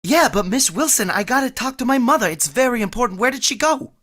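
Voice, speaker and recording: A man's voice: very high voice, expressive, fast talker, a bit bright, soft background music, full character voice. An actor playing a boastful, easily flustered working-class man who blusters and stammers when he gets nervous, in vintage radio comedy style.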